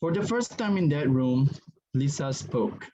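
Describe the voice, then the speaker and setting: Slow, mellow male narrator, muffled audio. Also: on the high side, expressive. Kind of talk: scripted narration of a dramatic reading. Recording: muffled audio with faint noise.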